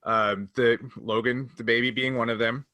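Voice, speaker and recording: A man's voice: mid-range voice, flat delivery, moderate pace, sharp tone, no room sound. A man co-hosting a podcast, casually thinking aloud as he explains his points. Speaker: podcast co-host. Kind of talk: casual discussion.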